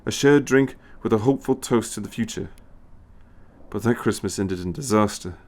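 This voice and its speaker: A man's voice: on the low side, moderate pace, darkish tone. A man reading scripted story narration as the narrator.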